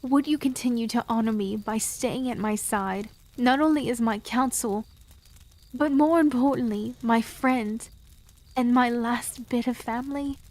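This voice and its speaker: A woman voicing a cursed young queen who speaks in a slow, formal, solemn way in a fantasy audio drama. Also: a little high, a little mellow. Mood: resolute.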